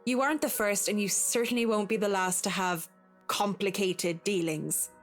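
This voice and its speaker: A woman's voice: medium pitch, moderate pace, soft background music, lightly acted. A woman playing a discreet, frank, unflappable professional in a video game, with a formal, businesslike manner. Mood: composed, frank. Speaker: voice actor in a visual novel. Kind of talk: video game dramatic acting.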